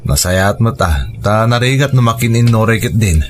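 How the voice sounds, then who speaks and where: A man's voice: fairly low pitch, lively intonation, moderate pace, slight background noise, lightly acted. An actor playing a tired, plain-spoken working husband and father in a radio drama, with a Filipino accent. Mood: weary. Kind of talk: naturalistic radio drama acting. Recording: music bed behind radio drama dialogue.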